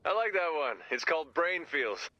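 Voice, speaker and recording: A man's voice: a little high, very animated, normal speed, highs cut off. A man voicing a spacey, laid-back rock musician with a rambling, goofy manner in a video game. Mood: spacey, cheerful. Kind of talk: video game character dialogue.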